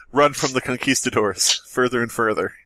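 Male podcast co-host, sharp-toned, casual joker, very little room sound. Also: medium pitch, no room sound, rough recording. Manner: joking, riffing. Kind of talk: casual comic banter and reading.

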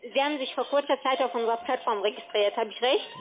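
A woman giving a telephone sales pitch as a call-centre account manager. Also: quick pace, music in the background. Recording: tinny narrowband phone line.

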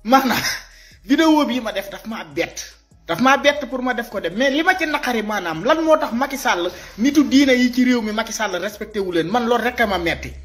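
A man in his thirties with a Senegalese accent giving impassioned, informal video commentary, animated and repeating his points as he exhorts listeners. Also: very high voice, a little fast, faint music behind. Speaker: social media commentator. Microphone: phone at arm's length.

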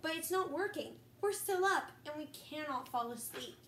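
Young girl reading a kids book for a vlog, high voice, echoey room. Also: animated, normal speed, fairly bright tone. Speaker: host and storybook reader. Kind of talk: casual vlog and read-aloud storytelling. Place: echoey room, some distance from mic. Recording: roomy echo, faint background noise.

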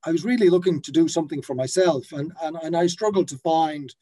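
A man's voice: on the high side, a little fast, mellow. A man in his fifties who grew up in the Netherlands, a brewery founder talking on a video call from his office, hesitant, with many fillers and restarts. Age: 50s. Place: office with a brand banner behind. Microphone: laptop webcam on a video call.

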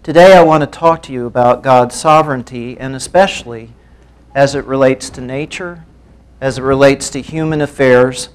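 A middle-aged man giving a sermon as a guest speaker, earnest and measured, with some hesitations, speaking into a lapel mic in a church hall. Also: medium pitch, clear, resonant tone.

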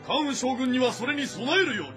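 An actor playing a dutiful, earnest army messenger of ancient China, reporting formally in a historical drama. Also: male voice, very high voice, normal speed, bright, forceful tone, soft background music. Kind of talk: Japanese historical audio drama acting.

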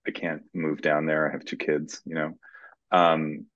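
A man's voice: extremely low pitch, flat delivery, measured pace, a bit bright. A man of forty talking conversationally as an interviewee over a video call. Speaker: screenwriter being interviewed. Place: indoors in a plain room, on a video call. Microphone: computer video-call microphone. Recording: dull, close, lo-fi video-call audio.

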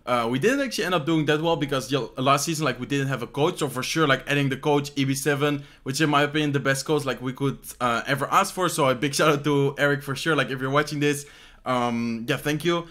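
A man's voice: medium pitch, fast talker, fairly bright tone. A young man from the Netherlands with a Dutch accent answers fan questions casually at home. He speaks English as a second language, rambles, and fills gaps with "like". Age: young adult. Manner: rambling, many "like" fillers and "how you say this" searches. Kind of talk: casual Q&A chat. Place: bedroom at home, indoors.

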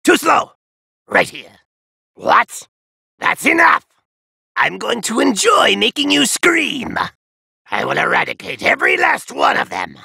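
A sadistic alien tyrant from an anime battle game. The voice is gravelly and sharp-edged, delivered slowly and grandly. He taunts and threatens like one who expects the universe to kneel, often rising to a shout. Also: high voice, dry recording, studio quality, exaggerated voice. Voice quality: raspy, gravelly. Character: arrogant, sadistic alien parasite conqueror, male, gloating villain. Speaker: video game villain.

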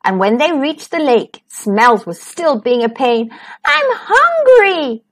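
High, animated woman storyteller. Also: unhurried. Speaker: storytime host and narrator. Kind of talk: children's picture-book read-aloud.

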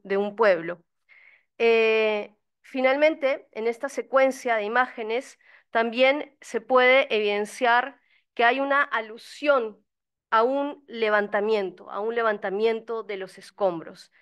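A woman speaks as a researcher at an academic panel, explaining an analysis step by step. Her voice is fairly high and very clean and smooth. She goes a little haltingly, correcting herself and adding small check questions. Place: indoor conference room, seated at panel table.

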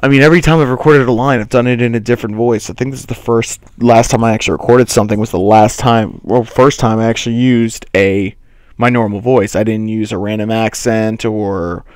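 A man's voice: mid-range voice, brisk, smooth, dry recording, studio quality. A young man, a voice actor, telling rambling casual anecdotes in an interview. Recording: music bed underneath.

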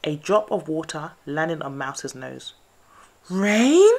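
A woman storyteller reading a children's picture book aloud in an expressive, scripted bedtime-story style. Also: deep voice, unhurried, creaky.

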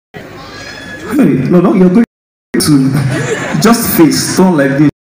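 A young man doing live stand-up comedy, loud and teasing, often laughing as he talks. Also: a little high, expressive, drawn-out words. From Nigeria. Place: large indoor arena stage. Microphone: handheld stage mic heard through hall PA. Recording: echoey arena from far off, loud crowd. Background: crowd laughing and cheering.

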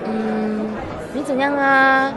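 A young woman with a Malaysian accent playing an excitable, feisty university graduate in a radio drama. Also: high-pitched, slow pace. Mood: casual, evasive. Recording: music and crowd behind voice.

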